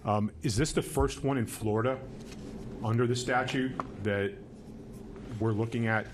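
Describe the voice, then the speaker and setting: A man, a commissioner, asking a polite, halting question at a public hearing. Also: medium pitch, fairly even intonation, a little hiss or hum. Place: county hearing chamber.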